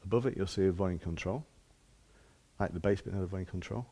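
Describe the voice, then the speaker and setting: A man's voice: low voice, fast talker, dark tone, husky. A man giving an informal hands-on demonstration as a shop presenter, explaining step by step in plain, casual words.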